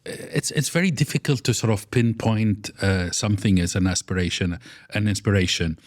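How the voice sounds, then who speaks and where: Low-voiced man, hesitant and halting. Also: dry recording, studio quality. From Iraq. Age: middle-aged. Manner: hesitant, many fillers and restarts. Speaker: architect guest. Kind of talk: conversational interview.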